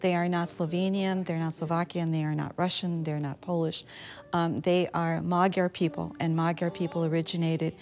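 A woman's voice: fairly low pitch, level tone, moderate pace, a little mellow. A woman interviewed as a local historian, explaining in a lecturing manner. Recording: interview with music underneath.

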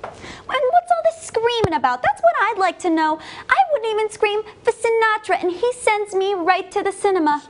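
A woman's voice: extremely high pitch, expressive, moderate pace. A young woman acting an opinionated, fussy 1940s young woman in stage musical comedy. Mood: indignant, fussy. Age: young adult. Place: TV studio.

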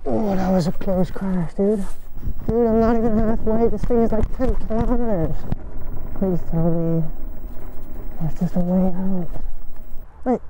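A young woman gives casual, excitable, blunt commentary with swearing, recorded on a helmet action camera while riding outdoors. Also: mid-range voice, a little slow, pauses often. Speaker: motovlogger. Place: outdoors, riding an electric motorbike on roads and forest trails. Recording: noisy outdoor ride audio.

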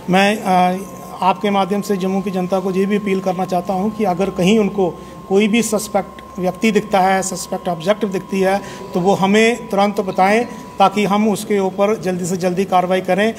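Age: middle-aged. Sex: man. Role: police officer. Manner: measured, hesitant, formal. Pitch: high, flat. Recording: press mics, faint noise. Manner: measured, hesitant with frequent fillers, formal appeal. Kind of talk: press conference statement. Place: indoor police station room. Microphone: table of press microphones.

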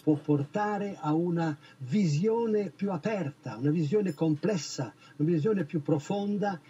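A man's voice: fairly high pitch, measured pace, dark tone, slightly rough, muffled recording. An older man answering interview questions outdoors, reflective, building his points as lists. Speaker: artist interviewee. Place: outdoors among trees.

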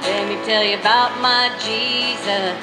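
A woman singing slow worship songs into a stand mic on a town sidewalk while playing guitar. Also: fairly high pitch, fairly even intonation, echoey room, poor audio. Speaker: street worship singer. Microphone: on a stand, filmed from across the street.

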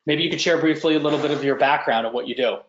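A man's voice: fairly high pitch, racing, a little hiss or hum. A middle-aged man hosting a webinar on a video call, talking conversationally with many ums and restarts. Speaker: webinar host. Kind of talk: presenting and interviewing. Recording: roomy, dull video call audio.

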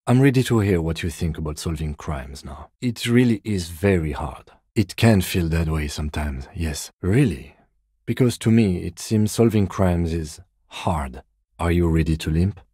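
An actor playing a composed, weary police detective in a video game, with dry, deadpan, measured delivery. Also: male voice, low-pitched, slightly rough, no echo. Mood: dry, restrained.

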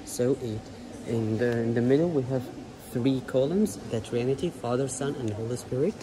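A man speaks as a tour guide, laying out explanations in short, halting phrases of non-native English. His pitch is middling, and clear background noise fills the church space around him. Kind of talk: explaining a site to visitors. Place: large church interior. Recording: noisy ambience inside a church.

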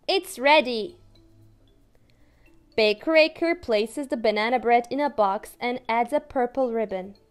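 A young woman with a Turkish accent reads a picture book to small children in a sing-song, playful voice. She speaks very slowly and sits fairly high in pitch. Faint music plays behind her in a small room. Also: clean tone. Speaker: English teacher. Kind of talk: reading a picture book aloud to toddlers.

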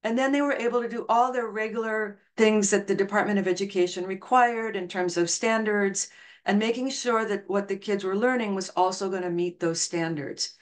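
Middle-aged woman giving an earnest online talk. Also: mid-range voice. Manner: earnest, storytelling, reads quotes aloud. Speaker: education researcher presenting a talk. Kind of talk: online lecture over slides. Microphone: video call webcam.

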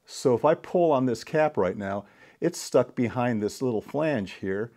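A middle-aged man giving a casual, deliberate, step-by-step hands-on repair tutorial. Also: mid-range voice, lively intonation, a little mellow. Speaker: DIY repair demonstrator. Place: indoor home workshop.

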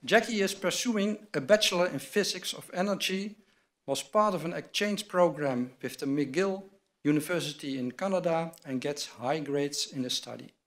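Man reading out award citations. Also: a little high. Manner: measured, reads from a script at a lectern. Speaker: award presenter at a university ceremony. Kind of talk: formal ceremony address read from notes. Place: large wood-panelled university hall with audience. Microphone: lectern microphone.

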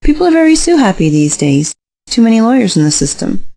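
A woman's voice: fairly high pitch, big pitch swings, quick pace, mellow. A woman playing a practical, open-minded business investor in an audio drama, polite and reasonable. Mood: interested, reasonable.

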